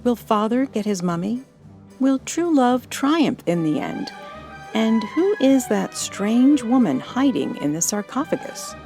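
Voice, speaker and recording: A woman's voice: on the high side, big pitch swings, a little slow, dark tone, faint music behind. A woman announcer reading a scripted trailer for a podcast drama.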